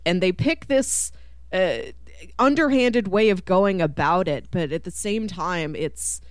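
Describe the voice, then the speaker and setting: A woman's voice: on the low side, a little slow, no room sound, clean studio sound. A woman co-hosting a podcast, talking casually about a film and animatedly voicing what people might be thinking. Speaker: podcast co-host. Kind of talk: casual film discussion chat.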